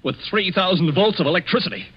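An actor playing a bitter, desperate young man accused of murder, with a husky voice, in 1940s radio drama. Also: high-pitched, animated, quick pace, loud music behind the voice. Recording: muffled vintage 1940s radio recording.

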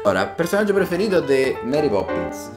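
A man's voice: a little high, sing-song, brisk. A man in his twenties joking around exuberantly as he co-hosts a casual vlog. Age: 20s. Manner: jokey, exuberant. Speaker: vlog co-host. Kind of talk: casual group chat. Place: small living room indoors. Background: music, acoustic guitar.

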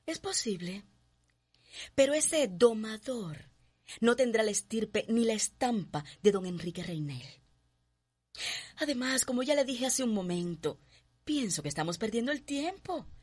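Snobby young cuban rich girl, radio soap, bossy n sharp-tongued, high lively voice. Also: a little slow, long pauses, slightly rough, exaggerated voice. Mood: cool, defiant. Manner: imperious, cutting. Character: haughty, headstrong young planter's daughter. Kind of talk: radio drama acting.

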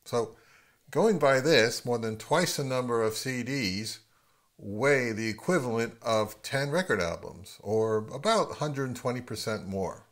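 A middle-aged man hosting a casual explainer at home, speaking in a measured, halting way. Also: medium pitch, animated. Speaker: host of an audio hobby channel. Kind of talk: casual explainer to camera with some narration. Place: home living room.

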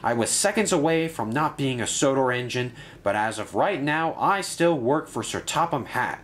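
A man voicing a proud, determined male steam engine who speaks firmly and briskly. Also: mid-range voice, lively intonation, voice pushed up, lightly acted. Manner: firm, brisk, decided.

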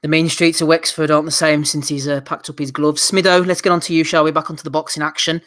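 A man hosting a relaxed boxing chat podcast, his voice sitting a little higher than most men's. He moves things along briskly and reads out listener questions, and he sounds slightly hoarse. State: losing his voice. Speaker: podcast host.

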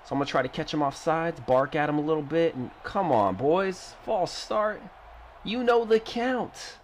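A young man with a stuffy nose from a cold, giving casual, excitable commentary over his own football video game play. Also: on the high side, moderate pace, a little hiss or hum. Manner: excitable, trash-talking, frequent groans. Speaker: gaming YouTuber.

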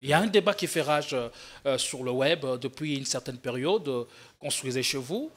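A young man hosting a political TV interview show, animated and energetic as he introduces his guest and asks questions. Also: medium pitch, moderate pace. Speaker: TV talk show host. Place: TV studio. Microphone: desk microphone.

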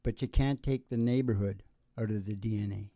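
A man's voice: on the low side, fairly even intonation, moderate pace, mellow. A man in his sixties, a country singer hosting his show, chatting casually into a stand microphone on a small stage in a folksy, joking way. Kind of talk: casual stage banter between songs. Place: small curtained stage indoors.

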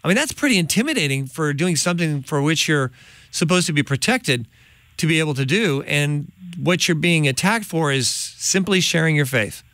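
A man's voice: a little high, expressive, moderate pace, fairly bright tone. A middle-aged man hosting a studio interview, asking emphatic, indignant questions. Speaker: host. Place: studio with large window. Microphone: studio microphone on boom.

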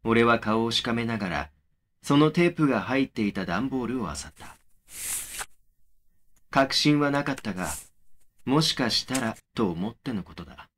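A man playing a young man who narrates his eerie memories in a measured, matter-of-fact way for a horror audio drama. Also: medium pitch, lively intonation, a bit bright, a little hiss or hum, natural voice. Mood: brooding, matter-of-fact.

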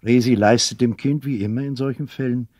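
Male story narrator for radio play: slightly deep, husky, dramatic, close and dry. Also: fairly even intonation, fairly quick, a little mellow, dry recording.

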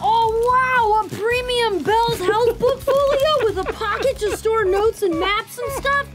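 Woman as a very high-pitched dorky eighties teen girl. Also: a little slow. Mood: awed. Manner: exaggerated eighties slang, melodramatic. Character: dorky earnest teenage new girl, eighties high school. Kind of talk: campy eighties teen movie parody.

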